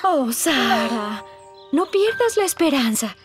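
Casting: gentle comforting mum, high voice, Latin American Spanish, kids' cartoon with loud music. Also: female voice, sing-song, slow, full character voice. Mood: soothing. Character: tender, reassuring adult mother. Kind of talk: children's cartoon dub.

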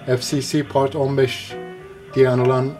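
A man, a radio hobbyist, explains a receiver in a casual, unscripted walkthrough, pausing between short phrases. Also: mid-range voice, flat delivery, over music. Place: indoors, filming a computer screen.

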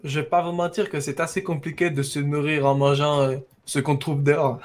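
A man's voice: fairly high pitch, little pitch movement, fairly quick, close to an everyday voice. A man playing a poor middle-aged immigrant woodsman in a roleplay game, blunt, haggling and cheeky. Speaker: roleplay player. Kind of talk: in-character roleplay.

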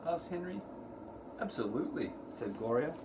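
A middle-aged man reading a children's picture book aloud to preschoolers in deliberate, sing-song storytelling phrasing, recorded in a room at home. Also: fairly high pitch, very animated. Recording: clearly noisy home recording, room ambience.

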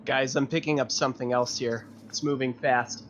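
A man's voice: mid-range voice, steady tone, moderate pace, fairly bright tone. A man talking casually as a player in a tabletop roleplaying game. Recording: dull sound with background noise.

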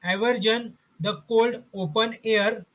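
A man slowly reading out homeopathy study notes in short, halting fragments. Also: sits high, fairly even intonation, fairly bright tone, rough recording.

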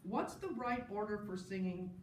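A woman's voice: medium pitch, animated, normal speed. A middle-aged woman gives a personal tribute talk at an academic conference, partly from notes, in a wry, anecdotal way with amused asides. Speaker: panel speaker, New Testament scholar. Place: large indoor conference session room. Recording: roomy, distant mic, clear background noise.

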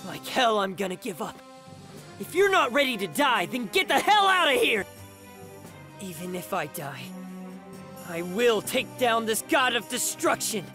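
An actor voicing a brash young male zombie fighter in an anime game, gruff, cocky and stubborn. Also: medium pitch, sing-song, unhurried, long pauses. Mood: defiant. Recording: loud music behind the voice.

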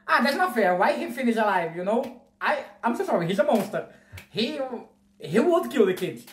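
Excitable Brazilian-accented man, roomy echo. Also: extremely high pitch, moderate pace. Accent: Brazilian Portuguese. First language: Portuguese. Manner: excitable, gushing, swears casually, gestures widely. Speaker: movie reaction host. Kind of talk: casual reaction commentary. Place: small bedroom, indoors. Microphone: wired earphone mic.

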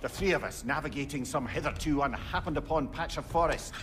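An actor playing a weary, kindly old immortal companion in a cinematic game, with a wry, gentle, reflective manner. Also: male voice, a little high, quick pace, lightly acted. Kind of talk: cinematic video game acting. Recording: clear background ambient noise.

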